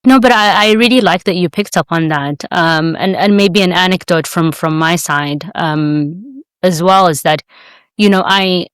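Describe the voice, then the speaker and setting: A woman's voice: lowish voice, measured pace, smooth, no echo. A woman from Sudan, co-hosting a podcast, talking in a conversational, hesitant way with many fillers. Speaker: guest co-host.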